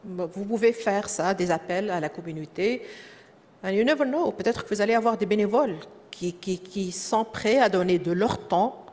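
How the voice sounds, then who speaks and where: A woman with a French-speaking accent giving a conference presentation, often pausing with fillers and repeating words as she searches for the next phrase. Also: mid-range voice, a little mellow. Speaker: presenter at a professional development session. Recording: echoey hall.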